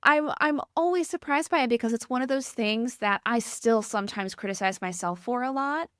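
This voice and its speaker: A woman from the Midwest with a Midwestern accent, hosting a podcast interview in a gentle, reflective way with a sweet, calming voice. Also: on the high side, moderate pace, no echo, clean studio sound. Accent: Midwestern American. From American Midwest. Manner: gentle, reflective, asks thoughtful questions. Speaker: podcast host.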